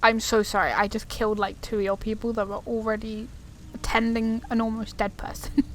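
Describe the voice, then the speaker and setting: A woman's voice: a little high, flat delivery, a little fast, a little hiss or hum, poor audio. A woman hosting a gaming video, giving casual commentary as she plays. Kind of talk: casual game playthrough commentary. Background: game music.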